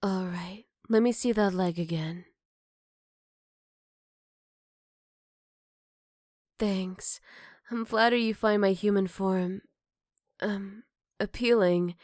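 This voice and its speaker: A woman playing a gentle, caring motherly werewolf in an ASMR roleplay, soft-spoken with hesitant pauses and tender teasing. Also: medium pitch, no room sound, clean studio sound, close to an everyday voice. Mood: shy, tender. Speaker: voice actor. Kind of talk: ASMR roleplay addressed to the listener.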